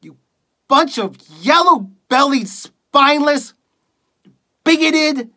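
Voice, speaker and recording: Actor as embittered talk radio host: theatrical, scornful rant, tense edge, deliberate pacing, highly dynamic pitch. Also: lively intonation, breathy edge. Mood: furious, desperate. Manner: scornful, accusatory, building from low muttering to shouting. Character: bitter, contemptuous late-night talk radio host ranting at listeners. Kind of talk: theatrical dramatic monologue.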